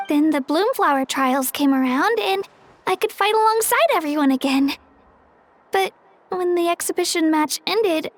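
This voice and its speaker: A woman playing a gentle, earnest and slightly hesitant young girl in a video game. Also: very high voice, no room sound. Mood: reflective. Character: young girl, earnest, unsure of herself, polite.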